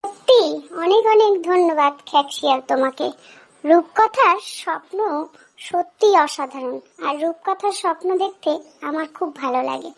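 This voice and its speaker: A woman's voice: extremely high pitch, lively intonation, normal speed. A woman voicing a plaintive young boy in a children's cartoon, speaking in a repetitive, childlike way. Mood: delighted. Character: whiny young boy who loves chocolate dreams.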